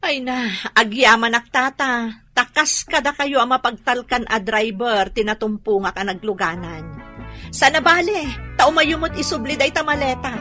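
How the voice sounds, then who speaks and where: A woman's voice: fairly high pitch, normal speed, sharp tone, faint music behind. A woman with an Ilocano accent playing a sighing, generous aunt in a radio comedy drama. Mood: relieved. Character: weary, generous aunt home from working overseas.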